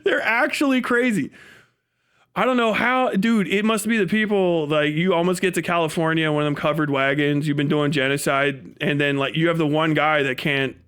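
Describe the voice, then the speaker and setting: A man's voice: a little high, fairly even intonation, moderate pace, a bit bright, clean tone. An American man live streaming, telling rambling, casual stories in profane, slangy language with comic exaggeration. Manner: breaks into laughter at own stories.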